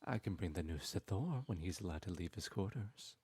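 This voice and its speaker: A very deep, husky male voice, as a man acts it out, plays a cunning trickster god who charms and manipulates with slow, silky words. The sound is dry and close to the mic, with no room around it. He teases gently and never seems to hurry. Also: expressive, dry recording, exaggerated voice. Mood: scheming. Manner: silky, teasing, slow and persuasive. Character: sly smooth-talking male trickster god. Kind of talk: ASMR audio roleplay.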